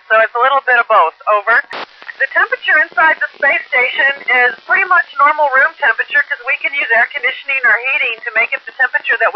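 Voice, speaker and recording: A muffled radio transmission with light static carries a man's very high-pitched voice. He explains things plainly and casually, like an astronaut fielding questions over a two-way link. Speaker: astronaut aboard a space station answering questions.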